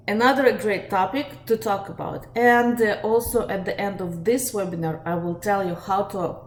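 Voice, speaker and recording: Woman with a Russian accent, webinar host, earnest, fillers, roomy echo, faint background hiss. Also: mid-range voice. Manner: steady, earnest, with frequent fillers. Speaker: life coach hosting a webinar. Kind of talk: live webinar talk to viewers. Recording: echoey room, faint ambient noise.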